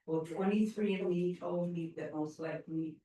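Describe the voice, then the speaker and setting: A woman introducing herself slowly with fillers and a light joking touch, recorded from far off in an echoey room. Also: on the low side, fairly even intonation, warm tone. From United States. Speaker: class participant. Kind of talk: casual self-introduction.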